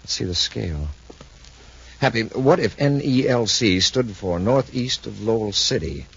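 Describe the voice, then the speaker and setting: An actor playing a steady, authoritative space patrol commander, the calm hero of a 1950s radio serial. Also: male voice, fairly low pitch, unhurried, gravelly, slightly rough, heavily acted. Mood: calm, decisive. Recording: noisy, muffled 1950s radio recording.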